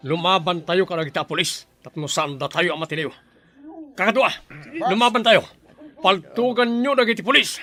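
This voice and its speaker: A man's voice: high-pitched, moderate pace, pushed and bright, soft background music. An actor playing a ruthless, defiant male gang boss in an Ilocano radio drama, barking orders and threats.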